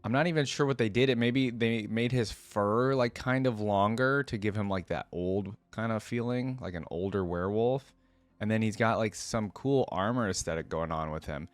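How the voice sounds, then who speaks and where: A man with a fairly low voice gives casual reaction commentary as a streamer. He talks conversationally, as if thinking aloud, and the sound is dry with very little room. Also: normal speed, no echo. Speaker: reaction video host and streamer.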